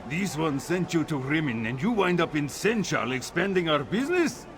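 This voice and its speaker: A man's voice: a little high, very animated, normal speed, over music. A man playing a proud older cat-folk merchant uncle in a video game, speaking formally. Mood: impressed, proud. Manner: speaks of himself in third person, formal. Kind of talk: scripted game dialogue, AI-voiced.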